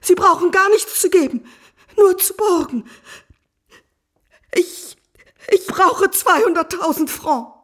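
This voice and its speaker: An actress playing a desperate, virtuous wife in a radio drama, imploring formally and emotionally in a breathy voice. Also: female voice, extremely high pitch, animated, slow pace, long pauses, fairly bright tone, exaggerated voice. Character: desperate virtuous wife pleading to save her family. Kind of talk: literary radio drama acting.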